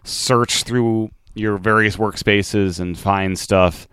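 A man hosting a podcast, relaxed and agreeable, asking follow-up questions in casual interview chat. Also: on the low side, slow, smooth, no echo. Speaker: podcast host.

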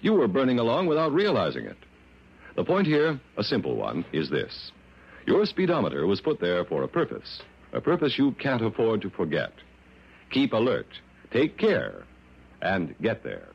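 A man with an American accent reading a scripted radio public service announcement in a deliberate, dramatic storytelling delivery. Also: fairly low pitch, wildly swooping pitch, vocal fry, husky. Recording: muffled vintage radio recording.

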